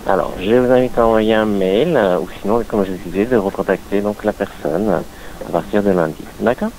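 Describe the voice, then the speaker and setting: Male phone receptionist, lower-pitched, formal and procedural, faintly noisy call recording. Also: a little fast, a little mellow. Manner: polite, firm, procedural. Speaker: restaurant employee answering the phone. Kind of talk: formal telephone customer service.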